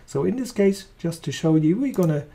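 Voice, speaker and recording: A man with a German accent walking through a software demo, talking casually as he clicks along. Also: a little high, brisk, vocal fry. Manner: relaxed, thinking aloud while clicking. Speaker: software trainer. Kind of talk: screen-recorded software demo.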